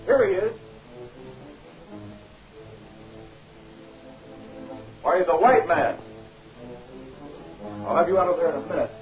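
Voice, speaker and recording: An actor playing a young, confident American adventure hero in a 1930s film serial, speaking in a direct, matter-of-fact way. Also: male voice, high-pitched, lively intonation, a little fast. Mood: alert, urgent. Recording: dull 1930s film soundtrack, faint music.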